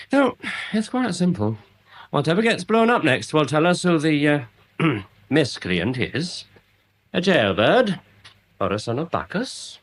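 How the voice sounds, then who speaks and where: A pompous English amateur theorist for a radio comedy-mystery. His voice is a little rough and swings very widely in pitch. He talks in long, ponderous, self-important sentences. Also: a bit bright, heavily acted. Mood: self-satisfied. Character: pompous, long-winded small-town man with amateur theories. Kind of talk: British radio comedy-mystery drama acting.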